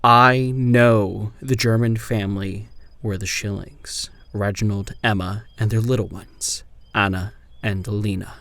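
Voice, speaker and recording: A man playing an amnesiac old-time detective, narrating a grim first-person account in a horror audio drama in a measured, solemn way. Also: lowish voice, gravelly, fairly bright tone, exaggerated voice. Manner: measured, solemn, literary delivery. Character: amnesiac former Pinkerton detective writing a grim final account.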